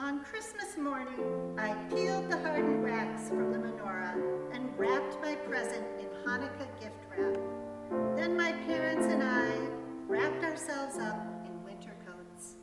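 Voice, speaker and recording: A woman's voice: high voice, expressive, unhurried, loud music behind the voice. A woman reading a story aloud as a dramatic narration. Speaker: reader in a church staff dramatic reading. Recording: very echoey hall, distant mic.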